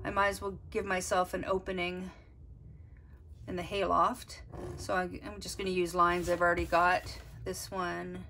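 A woman's voice: fairly low pitch, little pitch movement, normal speed, sharp tone, slight background noise. A woman art teacher giving a step-by-step drawing lesson, explaining as she works and thinking aloud. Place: desk, overhead camera on drawing.

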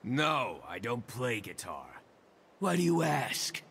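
A man voicing a big, boastful mutant turtle brawler for a video game, gravelly and forceful, with punchy, taunting delivery. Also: mid-range voice, wildly swooping pitch, slow pace, a little hiss or hum, full character voice. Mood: taunting, smug. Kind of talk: video game character voice lines.

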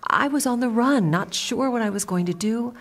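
A woman's voice: fairly high pitch, moderate pace, lightly acted. A woman playing a guarded, easily flustered doctor with a troubled past in a motion comic, speaking earnestly and hesitantly. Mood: regretful, evasive. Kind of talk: motion comic dramatic acting. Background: background music.

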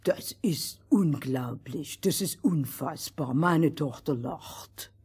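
A woman voicing a haughty, scheming king in a fairy tale, speaking in a pompous, measured, commanding way. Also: low voice, animated, a little mellow, breathy edge, pitched below the performer's own voice, full character voice. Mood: hushed amazement. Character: haughty, scheming old king, father of a princess.

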